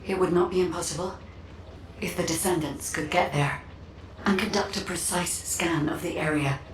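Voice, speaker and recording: An actress playing a calm, enigmatic non-human guide in a video game, formal, measured and detached. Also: female voice, lowish voice, slight background noise, close to an everyday voice. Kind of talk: scripted game dialogue. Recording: echoey, distant, faint ambience.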